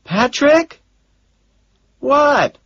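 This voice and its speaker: Guy doing a high soft breathy secretary lady, flustered, keeps saying sorry. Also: big pitch swings, extremely slow, no echo, muffled recording, full character voice. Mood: worried. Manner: hesitant, apologetic, repeats herself. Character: flustered soft-spoken young woman secretary.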